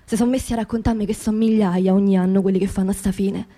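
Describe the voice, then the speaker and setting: An actress playing a grieving working-class widow in a radio theatre monologue, with a Roman accent and an intimate, halting delivery that repeats phrases. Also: female voice, mid-range voice, fairly even intonation, mellow, lightly acted. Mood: subdued, weary. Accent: central Italian, Roman. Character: grieving working-class widow of a construction labourer, haunted, indignant.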